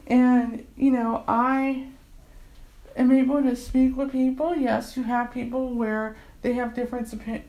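An enthusiastic woman in her forties talks earnestly in a relaxed testimonial, her voice sitting a little higher than most. She speaks as a community English tutor sharing her own experience. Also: low-quality recording. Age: 40s. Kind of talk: casual personal testimonial.

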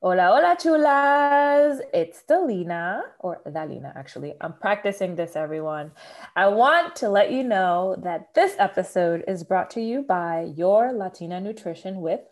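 A woman's voice: medium pitch, lively intonation, normal speed. A woman co-hosting a podcast as a dietitian. She sounds congested, chats casually and laughs often. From Philadelphia area, USA. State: congested, stuffy voice.

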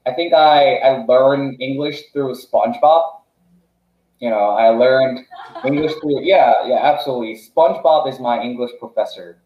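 Halting young founder from South Korea. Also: male voice, medium pitch, reverberant, muffled recording. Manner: halting, many restarts and fillers. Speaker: startup founder, podcast guest. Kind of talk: casual podcast interview.

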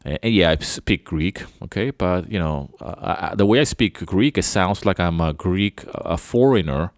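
Very deep-voiced man rambling. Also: animated, normal speed, dry recording, studio quality. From Venezuela. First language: Spanish. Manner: rambling, loose and chatty. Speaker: podcast host. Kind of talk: casual reflective monologue.

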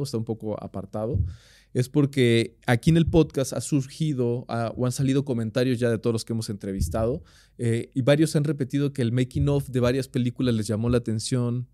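Warm-toned Mexican podcast host. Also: male voice, medium pitch, no room sound, clean studio sound. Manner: chummy, slangy, lots of backchannel. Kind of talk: casual interview chat.

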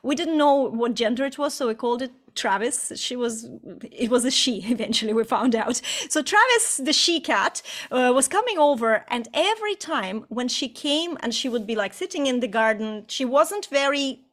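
A woman from Estonia co-hosting a podcast, telling stories in a relaxed, reflective casual chat. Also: high-pitched, moderate pace. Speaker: podcast co-host.

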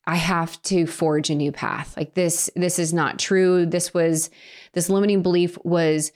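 A woman giving an earnest, reflective personal testimony about her faith, pausing and restarting as she speaks. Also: fairly low pitch, darkish tone. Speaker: interviewee sharing her faith story.

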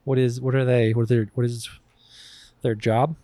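A man's voice: medium pitch, flat delivery, moderate pace, warm tone, no echo. A man hosting a podcast quiz in a casual, bantering style. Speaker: podcast host running a quiz.

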